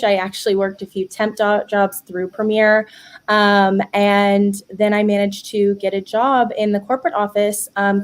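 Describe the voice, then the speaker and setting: A woman in her twenties from the Bay Area, a guest talking in a relaxed way, with many ums. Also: mid-range voice. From San Francisco Bay Area. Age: 20s. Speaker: training specialist guest. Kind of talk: casual conversation.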